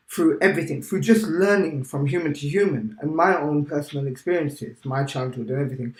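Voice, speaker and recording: A British-born man who is a teacher, talking in a casual interview and often checking in with "right?". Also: fairly high pitch. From United Kingdom. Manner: animated, keeps checking in with "right?". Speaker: teacher, guest. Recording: echoey room.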